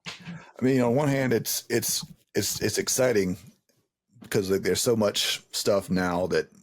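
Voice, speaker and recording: Low, husky man chatting casually. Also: measured pace. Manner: rambling, repeats words mid-thought. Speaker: interview host. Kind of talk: casual conversational interviewing.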